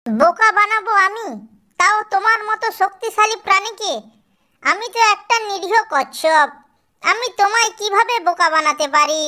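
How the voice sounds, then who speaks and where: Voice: very high voice, normal speed, bright, forceful tone, pitched above the performer's own voice, full character voice. A performer voicing a small, clever cartoon tortoise who coaxes and teases while feigning meekness. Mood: coaxing, meek. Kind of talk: children's cartoon character voice.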